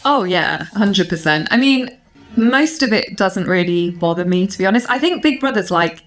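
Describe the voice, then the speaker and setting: A woman's voice: medium pitch, animated, moderate pace, soft background music. A woman in her thirties chatting casually as a podcast guest, wry, reflective and articulate. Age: 30s. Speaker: podcast guest, reality show winner. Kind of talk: casual chat.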